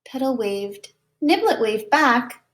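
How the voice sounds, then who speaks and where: A woman reading a children's picture book aloud in an expressive, deliberate way, like a preschool teacher. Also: fairly high pitch. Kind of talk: children's storybook read-aloud.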